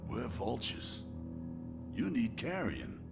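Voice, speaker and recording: A man acting a menacing male biker gang leader in a video game, taunting and profane in a slow drawl. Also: medium pitch, huge pitch swings, vocal fry, over music, heavily acted. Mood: gloating, taunting.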